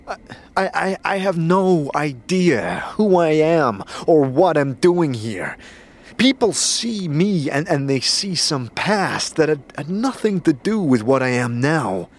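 An actor playing an earnest, troubled wanderer in a fantasy audio drama, with a halting way of speaking. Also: male voice, fairly high pitch. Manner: earnest, halting, repeating words. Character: troubled amnesiac male wanderer, earnest and brooding.

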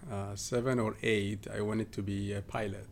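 A man from southern Ethiopia, a guest in a podcast interview, talking casually with many pauses and fillers. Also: on the low side, flat delivery, vocal fry, a little hiss or hum. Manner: hesitant, many fillers and restarts.

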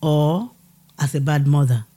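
A middle-aged Nigerian woman with a Nigerian accent, a guest on her son's podcast, speaking earnestly and deliberately. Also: sits low. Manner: earnest, deliberate, laughs warmly. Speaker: guest, host's mother. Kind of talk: casual family podcast chat.

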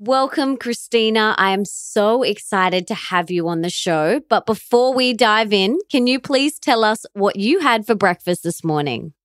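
A woman's voice: mid-range voice, animated, unhurried, few pauses, smooth, no echo. A woman hosts a podcast in a gushing, enthusiastic way, her voice in the middle range. Faint music plays behind her. The recording is dry and close. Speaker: podcast host. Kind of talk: upbeat podcast hosting.

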